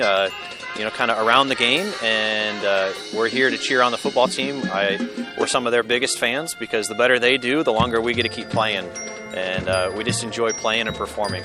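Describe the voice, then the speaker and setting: Man band teacher, music behind. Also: on the low side. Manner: casual, with many fillers. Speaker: band director. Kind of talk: news interview soundbite.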